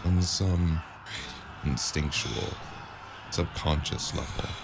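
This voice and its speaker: A man's voice: a bass voice, slow pace, vocal fry, noisy background, exaggerated voice. An actor playing a dazed, cocky hardboiled brawler who narrates his own fight in clipped, terse phrases, in the style of a noir audio drama. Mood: tense, brooding. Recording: loud music under the voice.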